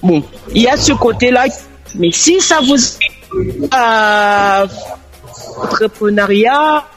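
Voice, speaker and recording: A woman's voice: fairly high pitch, expressive, slow pace, faint music behind, rough recording. A woman giving her opinion earnestly as a guest in a radio discussion.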